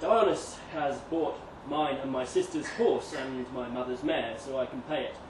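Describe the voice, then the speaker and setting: A man giving an expressive, theatrical live reading of a comic historical monologue, swelling and hushing for drama. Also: medium pitch, normal speed. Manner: expressive, dramatic, with emphatic swells and hushed drops. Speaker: story reader. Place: live reading event in a venue. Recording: echoey live room, distant mic, noisy ambience.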